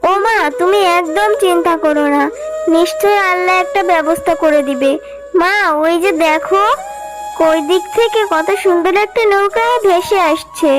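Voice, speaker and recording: A sweet little girl fox cub from a children's cartoon, played by a woman. The voice is extremely high and childlike, earnest and pleading as it reassures. Clear music runs underneath. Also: moderate pace, poor audio. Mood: hopeful.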